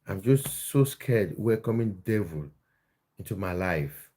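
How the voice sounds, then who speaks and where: Mellow-voiced man hosting a football livestream. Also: fairly low pitch, expressive, a little slow. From Cameroon. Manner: chatty, restarts words, reads viewer comments aloud. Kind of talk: casual live chat with viewers.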